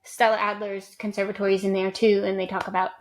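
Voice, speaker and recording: A woman's voice: mid-range voice, flat delivery, fast. A woman co-hosting a casual chat show, talking loosely with many ums and likes. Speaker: podcast co-host.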